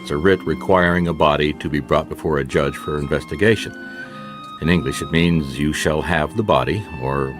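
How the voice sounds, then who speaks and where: An actor playing a wry, sardonic, world-weary private detective who narrates a noir radio mystery in a folksy, deadpan way. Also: male voice, low-pitched. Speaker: detective and first-person narrator.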